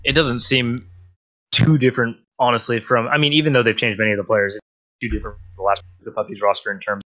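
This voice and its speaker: Fast-talking man on a dull mic. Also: medium pitch, steady tone, pushed and bright. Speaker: podcast guest panelist. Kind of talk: casual gaming podcast chat.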